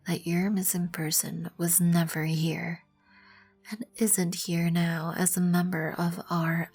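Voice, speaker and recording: Woman playing a slow, husky, regal vampire queen. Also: fairly low pitch, a little mellow, full character voice. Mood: matter-of-fact. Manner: imperious, measured, formal. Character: regal, composed, proud vampire queen, protective of her colony. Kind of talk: ASMR roleplay, scripted second-person acting. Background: background music.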